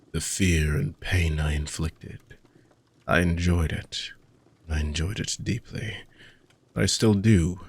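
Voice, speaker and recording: A man playing an ancient, weary dark mage villain in a fantasy audio roleplay, husky and gravelly, speaking theatrically with long dramatic pauses. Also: low voice, heavily acted. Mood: brooding, bitter. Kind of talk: fantasy audio roleplay monologue addressed to listener.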